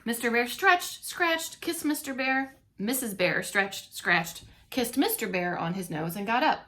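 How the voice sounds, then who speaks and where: A woman reading a storybook aloud to young children as a teacher, expressively, pausing to comment and ask questions. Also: on the high side, animated, bright, forceful tone. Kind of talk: animated read-along storytelling for young children.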